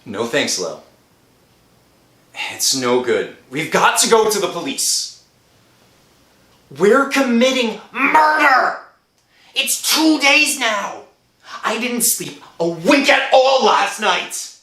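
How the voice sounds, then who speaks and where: A man playing an anguished, guilt-ridden husband in a radio horror drama, halting and agitated. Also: high voice, animated, bright, forceful tone, full character voice. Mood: tormented. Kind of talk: old-time radio horror acting. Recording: echoey room, faint music behind.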